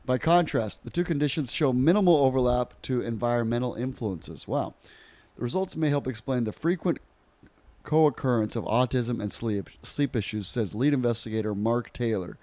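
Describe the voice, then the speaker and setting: A man's voice: medium pitch, moderate pace, mellow, no room sound. A man co-hosting a podcast in casual chat, with a joking, bantering manner. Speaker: podcast co-host.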